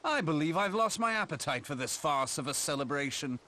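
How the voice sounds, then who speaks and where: A man's voice: on the high side, expressive, a little fast, bright, forceful tone. A man playing a flippant, glib adventurer in a video game, wry and theatrical in his phrasing. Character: flippant, wry adventurer rogue under accusation.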